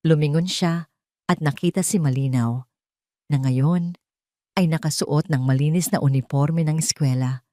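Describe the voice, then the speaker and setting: A woman's voice: low-pitched, measured pace, long pauses, mellow, no echo, studio quality. A woman with a Filipino accent narrating a dramatic scripted audio story.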